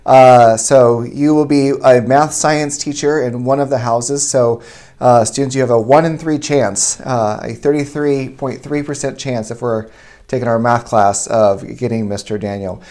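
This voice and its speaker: A man's voice: medium pitch, fairly even intonation, measured pace, a little mellow. A man speaking as a school principal, hosting an interview and putting questions in a semi-formal way.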